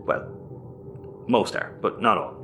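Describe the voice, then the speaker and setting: A man's voice: takes it slowly, pushed and bright, slight background noise. A man with an Irish accent playing a roguish, sarcastic space captain in a sci-fi audio comedy, wry and sweary. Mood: gruffly generous.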